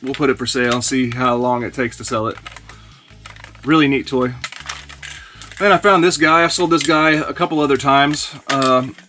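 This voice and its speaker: A man in his forties casually talking through thrifted toys in a small shelf-lined room, relaxed and rambling with many fillers. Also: medium pitch, normal speed, long pauses, music in the background. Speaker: toy reseller vlogger. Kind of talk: casual haul video. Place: small indoor toy room lined with shelves.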